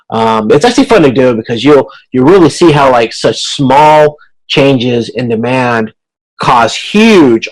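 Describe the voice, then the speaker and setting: Male instructor, mid-pitched voice, conversational online lecture delivery, informal and explanatory. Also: poor audio. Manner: informal, explanatory, checks understanding. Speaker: instructor leading an online class. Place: online video conference.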